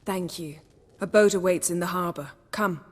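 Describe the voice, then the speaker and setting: An actress playing a proud, commanding sorceress in a video game, speaking in a formal, poised manner with cool teasing. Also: female voice, fairly low pitch, moderate pace, breathy edge, no room sound. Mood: cool, persuasive.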